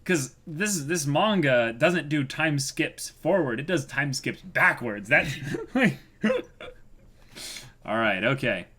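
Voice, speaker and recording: Excitable dude reading manga out loud on a stream, silly, voice goes all over the place. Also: male voice, a little high, takes it slowly, husky. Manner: goofy, excitable, exclaims and acts out lines. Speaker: co-host of a manga read-along stream. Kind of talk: casual reaction commentary, reading manga aloud.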